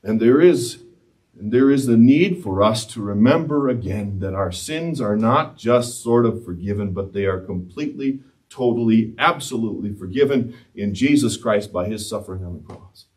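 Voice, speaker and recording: Impassioned pastor, distant in a church. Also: male voice, fairly low pitch, animated, normal speed. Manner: swings between loud impassioned rises and hushed quiet stretches; gestures widely while preaching standing before the altar. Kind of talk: sermon. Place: church sanctuary, mid-sized, indoors. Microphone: far camera, no handheld mic. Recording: speaker distant from the mic.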